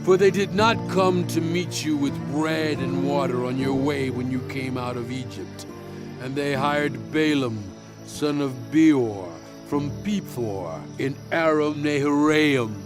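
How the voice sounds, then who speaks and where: A man playing a solemn, stern prophet proclaiming ancient law in a grave, deliberate, emphatic dramatized scripture reading. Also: mid-range voice, loud music behind the voice, lightly acted.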